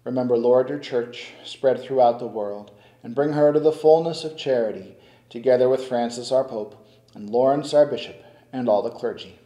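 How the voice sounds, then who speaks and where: Older male priest, measured and solemn liturgical delivery, warm tone, reverberant church sound. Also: medium pitch. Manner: slow, solemn, measured intoning. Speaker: priest celebrating Mass. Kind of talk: liturgical prayer recitation. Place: stone-walled church sanctuary at the altar. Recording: echoey church.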